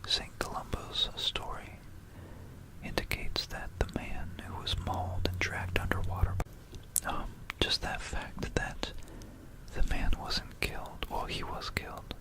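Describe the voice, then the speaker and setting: This is a fully whispered voice with no real tone behind it, only breath. The speaker hosts ASMR narration in an informal, rambling way. The delivery is gentle and a little hesitant, suited to bedtime listening. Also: measured pace, low-quality recording. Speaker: ASMR video creator and host.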